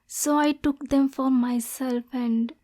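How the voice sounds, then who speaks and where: A lovesick demon girl, played by a woman, in an intimate ASMR roleplay. Her voice is high and soft, with a mellow tone and little melodic swing. She speaks slowly and breathily, lonely and nervous yet possessive. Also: fairly even intonation.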